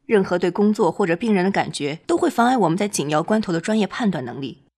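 A woman's voice: lowish voice, animated, fast talker, a little mellow. A woman playing a detached, pragmatic senior woman doctor who gives matter-of-fact advice in an amateur radio drama. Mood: firm, lecturing.

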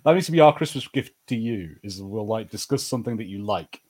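A man's voice: lowish voice, animated, a little fast, darkish tone. A man co-hosting a podcast, giving his opinions in casual pop-culture banter. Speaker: podcast co-host.

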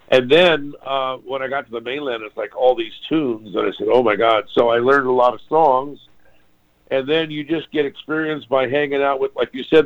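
An older man from Hawaii, a guitarist guest, telling his story in a relaxed, rambling way with restarts and apologies. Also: mid-range voice. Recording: dull lo-fi sound.

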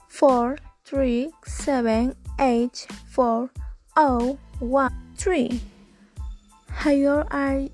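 A woman's voice: sits high, a little mellow. A woman, an English learner carefully reciting rehearsed practice dialogues and spelling names out letter by letter. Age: 20s.

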